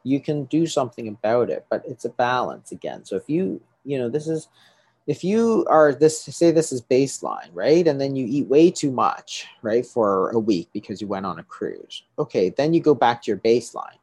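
A man's voice: medium pitch, sing-song, normal speed, darkish tone, breathy edge, dry recording. A middle-aged man, a doctor, explaining things to an interviewer, often restarting and checking with "right?". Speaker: doctor treating patients.

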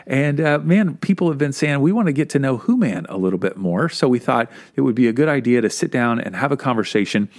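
A man's voice: medium pitch, sing-song, fairly quick, few pauses. A man producing and hosting a podcast interview, asking questions in an upbeat, encouraging way.

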